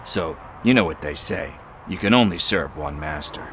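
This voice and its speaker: A deep-voiced street hustler. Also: male voice, lively intonation, moderate pace, background noise. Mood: wheedling. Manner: chatty, wheedling, casual slang. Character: shifty street hustler, middle-aged man, chatty and evasive.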